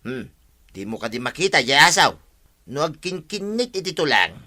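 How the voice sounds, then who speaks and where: A man's voice: on the high side, very animated, normal speed, pushed and bright. A man with an Ilocano accent playing a sociable, pleading village husband in a radio comedy drama, talking emphatically. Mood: explaining, insistent.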